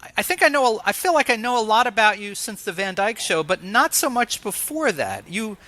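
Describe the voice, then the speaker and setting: A man's voice: high voice, very animated, fairly quick, bright, forceful tone, dry recording. A man hosting an interview show, moving between scripted announcements and conversational questions. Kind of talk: scripted introduction and ads, then interview chat.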